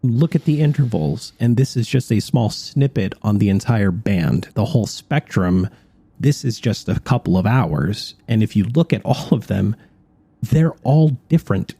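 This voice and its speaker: A man's voice: lowish voice, expressive, moderate pace, gravelly, dark tone, breathy edge, dry recording. A man co-hosting a radio show, explaining things in a conversational talk-show style.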